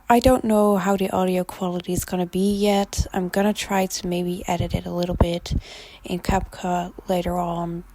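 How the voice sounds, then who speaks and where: A woman's voice: fairly low pitch, a little mellow, no room sound. A teenage girl with a Dutch accent, whose first language is Dutch, rambling casually with frequent ums in a voice-over recorded on a handheld phone. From Netherlands. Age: teens. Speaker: gaming channel creator. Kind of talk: casual voice-over chat over a speed build. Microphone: phone held in hand.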